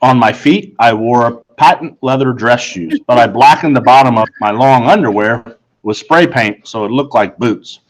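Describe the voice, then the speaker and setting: A man narrates a children's story in the first person. His voice sits at a middle pitch, and he tells it earnestly and deliberately, as an audiobook reader. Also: low-quality recording.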